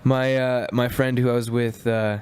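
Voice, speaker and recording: A man's voice: medium pitch, level tone, normal speed, darkish tone, clean tone. A man who is a chef, a guest on a podcast, talking in a laid-back, self-deprecating way. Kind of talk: casual chat.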